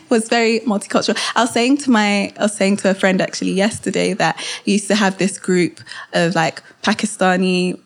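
Casting note young woman from Kenya, thoughtful guest, restarts often, plain middle pitch. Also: fairly even intonation. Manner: reflective, halting with fillers and restarts. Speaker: guest filmmaker. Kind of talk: casual interview chat.

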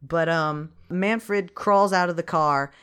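A man's voice: fairly high pitch, slow, a bit bright, clean tone. A man co-hosting a podcast, recapping a movie in casual chat, wry and profane, reading from his notes. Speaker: podcast co-host.

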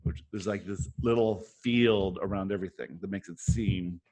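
A man's voice: low-pitched, fairly even intonation, normal speed, darkish tone, breathy edge, low-quality recording. A man hosting a discussion in a casual, explanatory way, as if thinking his points through aloud. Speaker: host of a book club discussion. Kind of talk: casual spiritual self-help discussion with some reading aloud.

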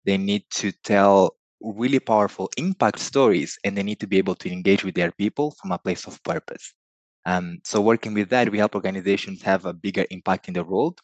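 A man's voice: sits low, brisk, no echo, poor audio. A middle-aged man from Mozambique, a native Portuguese speaker, talking earnestly as an interview guest and laughing often between thoughts. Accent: Portuguese-accented English. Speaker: guest, business consultant. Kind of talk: relaxed interview conversation.

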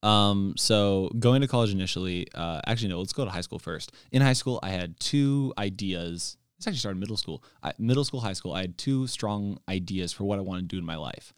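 An earnest young man talks eagerly about his calling, piling up fillers and restarts. His voice sits very low but comes through smooth and clear. The sound is close and dry. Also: brisk, few pauses, dry recording. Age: young adult. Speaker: church intern. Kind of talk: casual chat.

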